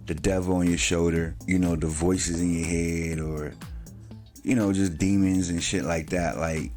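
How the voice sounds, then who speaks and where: A man's voice: low-pitched, a little mellow. A man in his late forties talking in a loose, slangy way, with plenty of "you know" and casual swearing, as host of his own vlog. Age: 40s. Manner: loose and slangy, swears freely, filler-heavy. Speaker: channel host.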